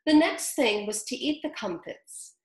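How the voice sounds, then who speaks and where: Animated woman reading a storybook aloud. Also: fairly high pitch, unhurried. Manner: animated and chatty, talking to young listeners. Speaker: storybook reader. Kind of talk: reading a children's story aloud with chatty asides. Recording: roomy echo.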